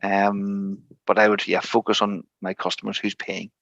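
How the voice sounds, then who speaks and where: Low, gravelly man giving an online tutorial. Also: completely flat delivery, normal speed, fairly bright tone, no echo. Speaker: guest expert presenter. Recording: slightly dull, lo-fi online call audio.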